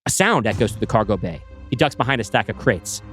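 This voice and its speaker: A man reading his screenplay aloud, with brisk scene-setting narration. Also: fairly low pitch, expressive, a bit bright, faint music behind. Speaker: writer pitching a screenplay.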